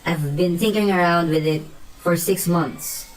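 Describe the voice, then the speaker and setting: Actor as brash young guy, radio drama; curious, slangy, easily amazed, medium pitch. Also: moderate pace, close to an everyday voice, low-quality recording. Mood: eager. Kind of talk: radio play acting.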